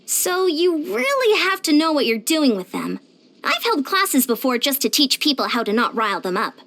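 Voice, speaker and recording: A woman voicing a short, determined, energetic young female fitness coach in a video game, earnest and plainspoken. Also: high-pitched, moderate pace, full character voice. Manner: earnest, plainspoken, chatty, confident. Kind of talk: animated video game character voice lines.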